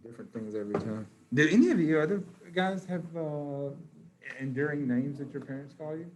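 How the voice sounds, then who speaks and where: A man's voice: fairly high pitch, animated, brisk, rough recording. A man teaching a class over a video call, joking and teasing his students, with many restarts.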